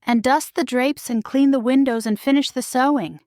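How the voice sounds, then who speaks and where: A woman's voice: high-pitched, moderate pace, darkish tone, dry recording, studio quality, voice pushed up, lightly acted. A woman voicing a cruel, bossy stepmother with a curt, sneering manner in a children's storybook. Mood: stern, commanding. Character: cruel, snapping, sneering middle-aged stepmother.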